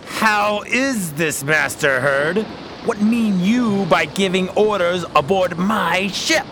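A man playing a stern, defiant sea captain in a pirate radio drama, gruff and commanding. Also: high voice, slow pace, background noise. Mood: angry, defiant. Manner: gruff, commanding, old nautical speech.

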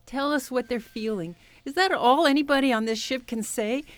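A woman's voice: high voice, moderate pace, no room sound, lightly acted. A woman playing a touchy, exasperated empath counselor in a comedic radio play, peevish and sarcastic. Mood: indignant. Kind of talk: comedic radio theatre parody acting.

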